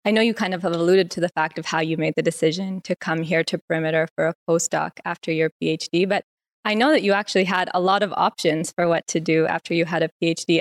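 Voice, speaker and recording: A woman asks an interview guest slow, measured questions. Her voice sits somewhat low. The recording is dry and close, with no room around it. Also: dry recording.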